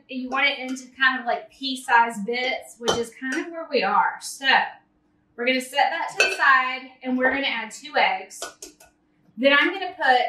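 A bright, high-pitched woman talks through a recipe in her thirties, chatty and eager with her viewers. She is picked up by a camera a few feet away in a large home kitchen, so the sound is roomy and a little distant. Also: slight background noise. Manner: chatty and enthusiastic, addresses viewers as y'all. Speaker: cooking channel host. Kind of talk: casual cooking vlog. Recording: echoey kitchen, voice a bit off-mic.

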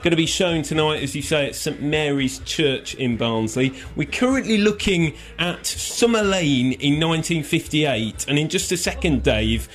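A man reporting for radio, loud and upbeat as he interviews a guest. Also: on the high side, animated, moderate pace, hardly any pauses. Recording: faint music behind.

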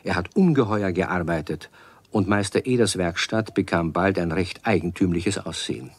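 A man narrating a children's radio play in a measured storytelling manner. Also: low voice, no echo.

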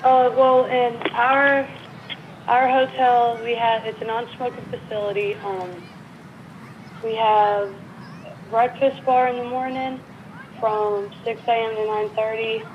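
Woman, slightly high pitch, hotel clerk, hesitant, plain-spoken, muffled phone call. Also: flat delivery, slow, a little hiss or hum. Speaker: hotel front desk clerk. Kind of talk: customer service phone call.

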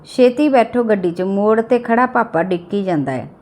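A woman's voice: mid-range voice, normal speed, a little mellow, close to an everyday voice. A woman voicing a hurrying, teasing young village driver, a man, in an audio story. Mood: impatient.

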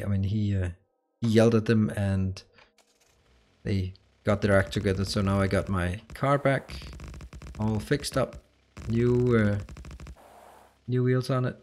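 A man's voice: fairly low pitch, a little mellow, slight background noise. A man from Denmark with a Danish accent, chatting casually on a game livestream and answering chat as he plays. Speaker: streamer.

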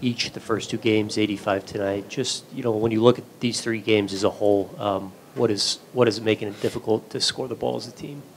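A male reporter asking halting questions full of fillers at a press conference. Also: lowish voice, steady tone, a little hiss or hum.

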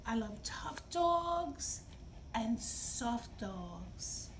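A middle-aged woman hosting story time, speaking to young children in simple, expressive phrases. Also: on the high side, extremely slow. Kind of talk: read-aloud and talk for young children. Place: indoor library children's room. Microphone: camera a few feet away. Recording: distant camera mic, clear background noise.

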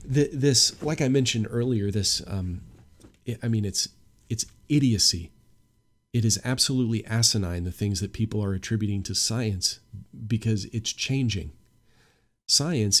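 Stuffy-nosed young man, low voice. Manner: earnest, opinionated, grows emphatic. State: stuffy nose from a cold. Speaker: podcast host. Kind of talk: solo podcast monologue.

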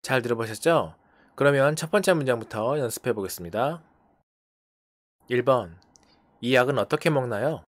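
A teacher giving a language lesson, briskly prompting numbered phrases in turn. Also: mid-range voice, lively intonation, dry recording, clean studio sound. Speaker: English teacher.

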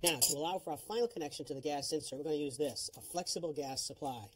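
A man's voice: fairly high pitch, quick pace, slight background noise. A middle-aged man giving a plain-spoken, instructive demonstration as a home improvement heating expert. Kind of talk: hands-on explanatory demonstration.

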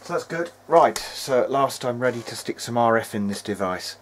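A man giving casual running commentary as he tests electronics, muttering to himself in short, halting remarks. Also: medium pitch, animated. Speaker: hobbyist presenter of a video diary. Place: small cluttered indoor electronics workbench. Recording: clear background noise in workshop.

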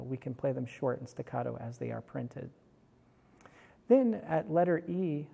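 A middle-aged man, a music instructor, explaining in a measured way in a large classroom. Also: on the high side, gravelly, dark tone. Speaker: horn professor and instructor. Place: large hard-walled music classroom, indoors. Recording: echoey hall-like classroom reverb.

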